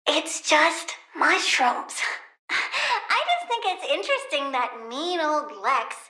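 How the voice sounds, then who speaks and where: A woman's voice: very high-pitched, pushed and bright, echoey room, exaggerated voice. A woman voicing a young plant-powered girl in a video game, inquisitive, chatty, sassy and childishly blunt. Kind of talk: video game character dialogue.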